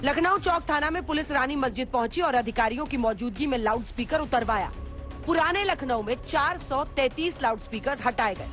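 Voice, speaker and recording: A woman's voice: high-pitched, lively intonation, a little fast, fairly bright tone. A woman reading scripted news narration in an emphatic, dramatic way as a reporter. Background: background music.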